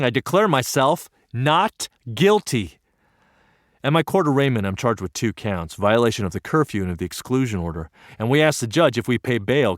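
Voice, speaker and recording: An actor narrating as an earnest young student, telling his story in an earnest, wry and lively way. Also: medium pitch, dry recording, studio quality, close to an everyday voice. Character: earnest young Japanese American male student. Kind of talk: one-man stage play narration.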